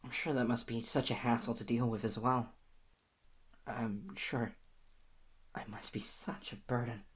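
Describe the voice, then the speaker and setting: A woman voicing an obsessive, clingy young lover in an audio roleplay, speaking softly and pleadingly in a husky, whispery voice to the listener. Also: rumbling low, exaggerated voice.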